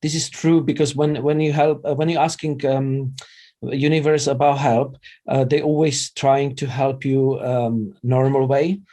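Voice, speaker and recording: A middle-aged man speaks with a Czech accent at an average pitch. His tone is dark and relaxed, with little edge to it. He talks as a guest in a casual interview, in halting second-language English with broken phrasing. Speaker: interview guest, vegan chef and former radio presenter.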